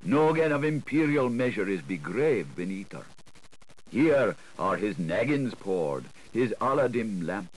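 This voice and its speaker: Low-voiced man reading, very animated. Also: unhurried. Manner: theatrical, playful, shifting between questioning and answering voices. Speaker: audiobook reader. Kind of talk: dramatic literary narration. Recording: scratchy, noisy 1980s audiobook recording.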